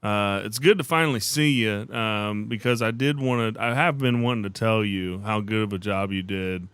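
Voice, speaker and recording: Guy hosting a casual podcast, lowish smooth voice, really dry sound, encouraging. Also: moderate pace, a bit bright, dry recording. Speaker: podcast host. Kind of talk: casual conversational interview.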